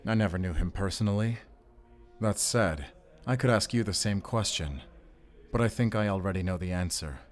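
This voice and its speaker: An actor playing a weary, guarded werewolf hunter in an audio drama, terse, stern and defiant. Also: male voice, low-pitched, normal speed, faint music behind, natural voice. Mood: guarded, grim. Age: 30s.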